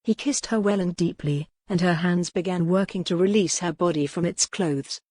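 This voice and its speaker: Low-voiced woman narrating romance fiction. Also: moderate pace, a little mellow, dry recording. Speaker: audiobook narrator.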